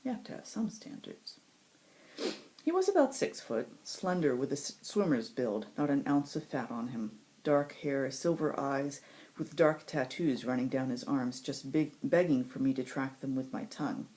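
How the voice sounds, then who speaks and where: A woman voicing a cynical, sardonic supernatural male assassin in a first-person story, with sarcastic, dramatic asides and emphatic pauses. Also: a bass voice, lively intonation, warm tone, low-quality recording. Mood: lustful. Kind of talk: first-person audiobook-style story reading.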